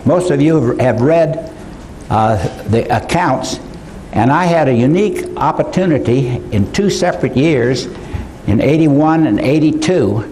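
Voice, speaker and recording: An older man giving a conference lecture, halting, with many fillers and restarts. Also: mid-range voice, darkish tone, slight background noise, poor audio. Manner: slow, halting delivery with frequent fillers. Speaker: conference speaker. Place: conference hall.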